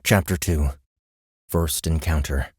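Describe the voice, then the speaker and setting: A man with a deep voice narrates fiction as an audiobook reader. The delivery is scripted storytelling, recorded very dry and close. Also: slow, husky, no room sound.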